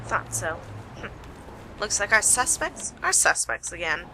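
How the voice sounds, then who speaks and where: A woman playing a sarcastic, bossy detective in an amateur radio play, with a wry, brisk delivery. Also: mid-range voice, very animated, over music. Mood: sardonic. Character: sharp, sarcastic female detective leading a murder case. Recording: noisy amateur home recording.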